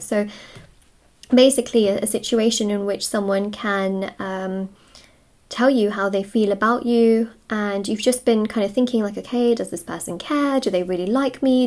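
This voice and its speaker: A woman hosts an improvised tarot reading in a medium-pitched voice. She talks conversationally to her viewers, musing over meanings and often repeating a phrase in new words. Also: a little mellow. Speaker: tarot reader and host. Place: indoors at a wooden table.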